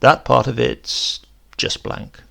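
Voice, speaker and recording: A man reading a horror story as a shaken, rambling accident survivor, halting and conversational, brooding and prone to outbursts. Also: medium pitch, fairly even intonation, creaky, bright, forceful tone, heavily acted. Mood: haunted, confiding. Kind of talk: dramatic first-person horror story reading.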